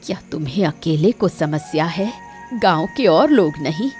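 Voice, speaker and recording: A woman's voice: low voice, lively intonation, moderate pace, darkish tone, soft background music. A woman voicing a frail, reproachful old village mother in a cartoon moral story, with a plaintive manner. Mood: hurt, reproachful. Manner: plaintive, guilt-tripping.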